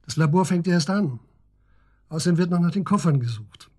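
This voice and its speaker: A calm male police investigator in a radio play, middle-aged, with a slightly high, husky voice. He speaks soberly and to the point, probing with short questions. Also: moderate pace. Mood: sober, reassuring. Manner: matter-of-fact, probing, terse questions. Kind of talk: naturalistic German radio drama acting.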